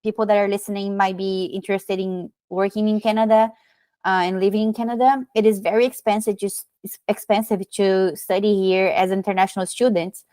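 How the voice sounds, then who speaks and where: Woman Brazilian-accented guest, 30s, storytelling, mid pitch, dry close mic. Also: fairly quick, smooth, dry recording. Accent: Brazilian Portuguese. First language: Portuguese. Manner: many fillers and restarts, animated storytelling. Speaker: podcast guest. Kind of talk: casual interview chat.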